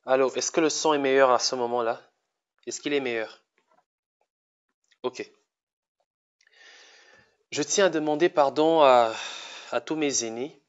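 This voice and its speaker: A man who has a cold, hosting a social media live stream in a halting, repetitive, apologetic way. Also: mid-range voice, lo-fi sound.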